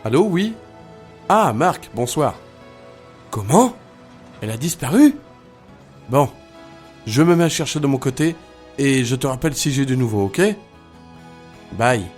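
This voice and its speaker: Man as concerned helper, reassuring, lively intonation, faint music, audio drama. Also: mid-range voice, unhurried, long pauses. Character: concerned, reassuring man taking charge of a lost girl. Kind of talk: amateur French audio drama.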